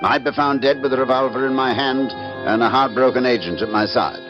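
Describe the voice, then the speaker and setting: An actor playing a troubled, darkly wry husband in an old-time radio drama, confessing in a theatrical, halting way. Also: male voice, medium pitch, close to an everyday voice. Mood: bitter, wry. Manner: theatrical, halting with repeated words. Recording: dull vintage radio recording with music.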